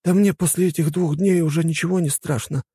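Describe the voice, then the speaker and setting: A man's voice: fairly high pitch, steady tone, brisk, warm tone, husky, no echo, voice pushed up. A man voicing a sleepy, resigned portal mage servant in a fantasy audiobook.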